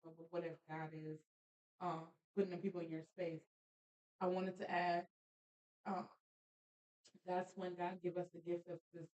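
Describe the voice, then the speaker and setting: A woman hosting a casual faith podcast, animated and preachy, laughing often as she talks with friends. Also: fairly low pitch, normal speed, pauses often, lo-fi sound. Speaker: podcast host.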